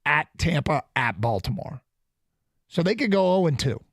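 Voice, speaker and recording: A man hosting sports radio in casual chat, animated and quick to laugh. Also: fairly high pitch, breathy edge, dry recording, clean studio sound. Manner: animated, restarts often, laughs. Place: radio studio.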